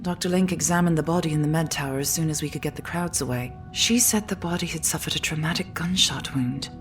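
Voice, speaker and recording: An actress playing an immortal woman leader in a sci-fi audio drama, intimate and hushed, tender yet weary and resolute. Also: low-pitched, fast talker, soft background music, natural voice. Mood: grave. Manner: intimate, hushed, often slipping into whisper. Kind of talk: full-cast sci-fi audio drama acting.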